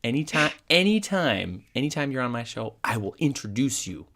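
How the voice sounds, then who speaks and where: A man's voice: mid-range voice, sing-song, normal speed, a bit bright. A man hosting a podcast with a nasal, congested voice while recovering from illness, talking in a playful, self-deprecating way. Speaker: podcast host. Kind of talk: casual podcast interview. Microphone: video call.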